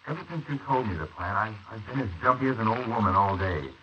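An actor playing an anxious, idealistic struggling young artist in a 1940s radio play, jittery and brooding, with halting delivery. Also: male voice, fairly low pitch, animated, natural voice. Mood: jittery, fearful. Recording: noisy, muffled 1940s wire recording.

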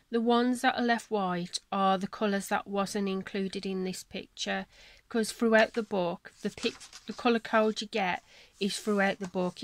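A woman hosting a colouring channel, chatting casually at her table at home, her voice croaky from a cold and her talk apologetic and rambling. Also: medium pitch, dry recording. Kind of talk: casual chat showing colouring books. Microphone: camera on a selfie stick above the table.

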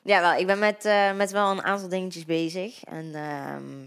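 A woman's voice: lowish voice, steady tone, normal speed, fairly bright tone, smooth. A 22-year-old woman with a Dutch accent, a guest in a casual interview, talking hesitantly with many fillers and restarts into a handheld mic. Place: bright living-room studio with sofa. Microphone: handheld microphone.

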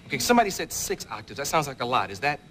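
Man, interviewer, quick, curious. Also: medium pitch, very animated, sharp tone, a little hiss or hum. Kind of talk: interview question.

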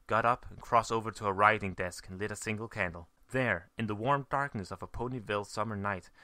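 A man narrates a piece of fan fiction. His voice is fairly low and very bright, in a close, dry recording. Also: brisk, no room sound. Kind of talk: scripted story narration.

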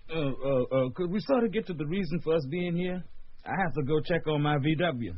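An actor playing a hesitant, impatient young adult son in a radio drama, speaking haltingly. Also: male voice, a little high, expressive. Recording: muffled sound.

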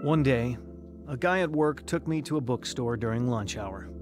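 A man's voice: medium pitch, lively intonation, moderate pace, over music, natural voice. An actor playing a searching, skeptical young man who tells of his troubled past in a radio drama, casual and wry. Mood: reflective. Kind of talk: radio drama acting and narration.

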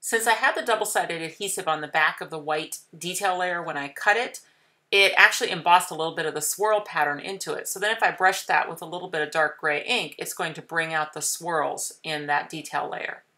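A woman in her thirties explains a papercraft project one step at a time, gentle and unhurried. Her voice sits low but has a bright, forward edge to it. She sounds like a patient teacher at her craft table. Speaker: craft tutorial host. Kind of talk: calm step-by-step craft demonstration.

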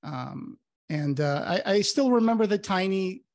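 A man's voice: high voice, lively intonation, a little mellow, dry recording, clean studio sound. A man from Portugal whose first language is Portuguese, co-hosting a casual interview over a video call with headphones on. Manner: friendly, quick agreeing backchannels. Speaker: podcast co-host. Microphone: headphones and webcam on video call.

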